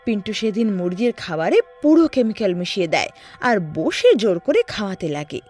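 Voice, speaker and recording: A woman's voice: medium pitch, very animated, brisk, darkish tone, breathy edge, soft background music. A woman narrating a scripted story in a dramatic storytelling style.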